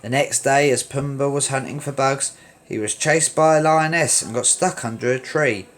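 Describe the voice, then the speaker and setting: A man reading a children's story aloud in an expressive read-along style, with emphatic delivery. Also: medium pitch, moderate pace.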